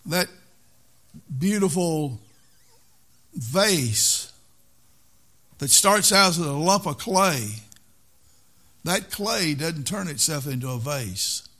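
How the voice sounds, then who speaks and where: A man's voice: fairly high pitch, lively intonation, extremely slow, long pauses, sharp tone. An older man preaching a sermon in an earnest way, repeating key phrases for emphasis.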